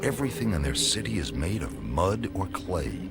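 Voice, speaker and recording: Deep, very animated male storyteller. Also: creaky. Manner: storytelling, deliberate phrasing. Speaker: narrator. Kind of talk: scripted radio drama narration. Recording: loud music under the voice.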